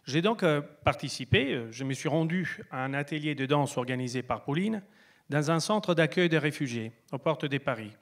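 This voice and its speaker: A man from Italy, giving a prepared stage talk in French, pausing often on fillers. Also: a little high, animated. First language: Italian. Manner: hesitant, many filler pauses. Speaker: pitch presenter.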